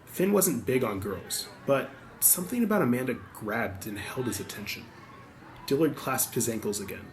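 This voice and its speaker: A man's voice: mid-range voice, lively intonation, normal speed, rough recording. A man reading scripted audiobook narration as the narrator.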